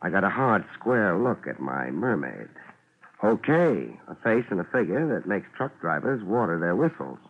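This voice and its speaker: A laid-back American private eye from 1950s radio drama. His low voice swings widely through dry, deadpan wisecracks and hard-boiled narration. The old recording is muffled and noisy. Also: moderate pace, heavily acted. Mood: wry narrating.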